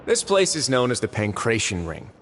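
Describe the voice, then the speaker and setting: An actor playing a composed, shrewd duke who runs a prison fortress in a video game, speaking in a measured, suave and authoritative way. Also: male voice, mid-range voice, sing-song, a bit bright, slight background noise. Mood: composed, matter-of-fact. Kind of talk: video game character dialogue.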